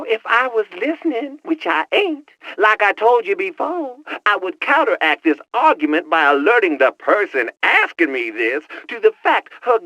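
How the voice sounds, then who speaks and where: Sassy slangy young hacker sidekick for a game, high voice, swoops all over. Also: male voice, pushed and bright, dry recording, full character voice. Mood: smug. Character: cocky wisecracking young male hacker guiding a heroine by radio. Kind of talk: video game cut-scene acting.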